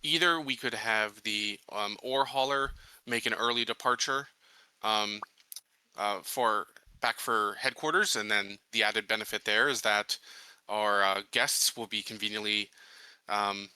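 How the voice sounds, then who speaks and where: A man playing a tabletop roleplaying game, laying out plans casually in a deliberate, halting way. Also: on the low side, bright, forceful tone, clear, resonant tone, no echo, rough recording. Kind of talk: casual in-character planning talk.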